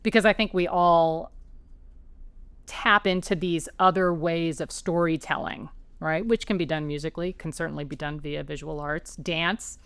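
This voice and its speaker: A woman's voice: deep voice, fairly even intonation, moderate pace, fairly bright tone, clear, resonant tone, no room sound. A woman author being interviewed at home, chatty and anecdotal, restarting sentences as she talks. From New York area, raised as an Army child. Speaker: author guest. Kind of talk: conversational interview. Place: her home.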